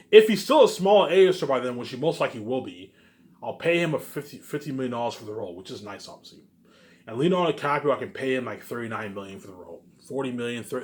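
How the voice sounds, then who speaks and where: A man rambling casually in a monologue, boastful and emphatic, repeating himself and asking questions that he answers himself. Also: mid-range voice. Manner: boastful, profane. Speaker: vlogger.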